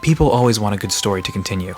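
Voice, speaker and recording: A man's voice: lowish voice, expressive, rapid-fire, a little mellow, soft background music, close to an everyday voice. A man playing a friendly, nerdy guide to mythical creatures in an audio drama, explaining lore eagerly with hesitant asides. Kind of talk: conversational audio drama dialogue.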